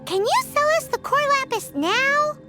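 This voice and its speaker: A woman voicing a small, cheeky female fairy companion in a video game, with a squeaky childlike voice and a perky, emphatic manner. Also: animated, normal speed, loud music behind the voice. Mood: cheerful. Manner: perky, emphatic, talks like a cartoon sidekick. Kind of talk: English video game voice acting.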